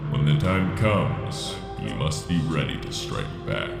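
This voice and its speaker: A man's voice: a bass voice, expressive, measured pace, gravelly, exaggerated voice. A man playing an ancient, arrogant machine construct who speaks formally and pompously in a sci-fi drama. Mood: grave, portentous. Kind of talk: dramatic sci-fi audio acting. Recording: echoing voice under prominent music.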